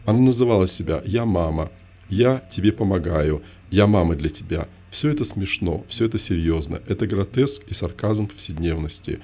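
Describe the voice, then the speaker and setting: A man with a fairly low voice reads his memoir aloud in the first person. He keeps a measured, even pace, ticking off events one by one with terse, repeated phrases. The tone is steady and plain. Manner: measured, list-like delivery with terse refrains. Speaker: memoir narrator.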